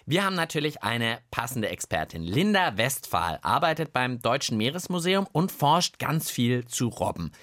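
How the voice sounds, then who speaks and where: A man hosting a children's science podcast in lively banter, with a playful, inquisitive manner. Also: medium pitch, moderate pace, few pauses, no room sound, clean studio sound. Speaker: podcast host.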